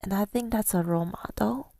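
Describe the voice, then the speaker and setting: A woman telling a personal story in ASMR style, softly and breathily, often near a whisper, with a halting, reflective delivery. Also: medium pitch, vocal fry, dry recording, clean studio sound. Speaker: ASMR creator.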